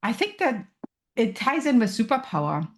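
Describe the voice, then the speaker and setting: A woman's voice: fairly high pitch, sing-song, moderate pace. Woman, German accent, podcast guest, thoughtful, explains with examples, upbeat. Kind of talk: casual interview chat.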